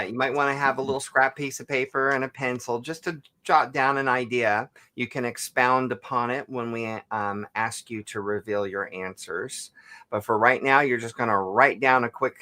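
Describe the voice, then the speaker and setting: A man hosting a casual show, putting game questions to his guest and repeating each one deliberately. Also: mid-range voice, steady tone, sharp tone. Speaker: host.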